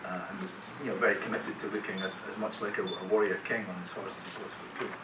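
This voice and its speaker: A middle-aged actor telling rambling, self-deprecating stories as a guest on a convention panel, with many restarts and chuckles. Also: male voice, fairly low pitch, very fast, vocal fry. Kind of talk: casual anecdotes to a live audience. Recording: echoey hall, distant mic, loud room noise.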